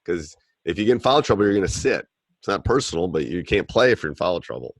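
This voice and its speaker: A middle-aged man with a deep voice, a basketball coach and podcast host, talking in a relaxed, rambling, plain-spoken way. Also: fast talker, creaky, slightly rough, dry recording. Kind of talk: casual coaching Q&A call.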